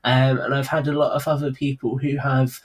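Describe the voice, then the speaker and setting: A man's voice: mid-range voice, level tone, fairly quick, poor audio. A man talking casually as a guest on a podcast about his creative work. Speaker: podcast guest, audio drama writer and producer.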